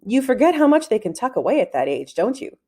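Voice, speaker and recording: Woman reader doing a cheery lady archaeologist from a kids book, chatty and amused, mellow voice. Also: on the high side, sing-song, fast talker, breathy edge.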